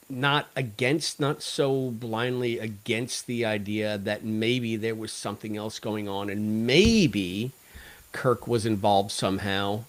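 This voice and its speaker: Man, mid-range voice, podcast host, casual review chat, talkative, opinionated. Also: mid-range voice. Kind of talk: casual discussion and review of an audio drama.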